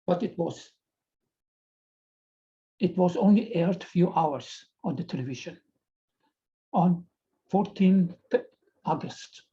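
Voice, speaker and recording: An older man from Iran, a Farsi speaker with a Persian accent, addressing viewers in an earnest, halting way over a video call. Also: high voice, mellow. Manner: slow, halting and earnest, with frequent pauses and repeated words. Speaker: TV presenter. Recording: slightly dull video call audio.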